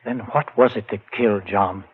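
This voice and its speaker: A man's voice: fairly low pitch, fairly even intonation, moderate pace, breathy edge. A man acting in a 1940s radio drama as a grim, determined avenger, speaking in an earnest, insistent, dramatic way. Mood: skeptical, bitter. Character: grim determined man hunting his brother's murderer. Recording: tinny, muffled 1940s radio recording.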